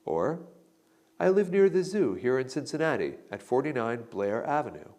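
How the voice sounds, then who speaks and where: A man narrating an English lesson, reading out model answers slowly and deliberately. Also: mid-range voice, very animated, a little mellow. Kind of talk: slow instructional model answers.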